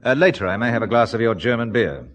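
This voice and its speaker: An actor plays an anxious, well-mannered Englishman in a radio thriller. His English accent comes in a mid-pitched, very expressive voice. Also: fast, crowd noise, lightly acted. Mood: polite, uneasy. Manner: courteous, hesitant, anxious. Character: polite, uneasy English businessman abroad. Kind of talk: radio drama acting.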